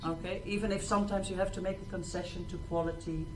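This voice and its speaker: A woman's voice: lowish voice, fairly even intonation, fast. A middle-aged woman hosting an event, talking informally to an audience and putting questions to them. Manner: rhetorical questions to the listeners. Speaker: event host. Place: small stage in a presentation room. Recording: distant mic, clearly noisy room.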